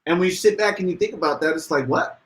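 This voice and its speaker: A man co-hosting a casual podcast livestream, reading out viewer comments and getting animated as he talks. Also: fast talker. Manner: animated, rises in pitch when worked up. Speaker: podcast co-host.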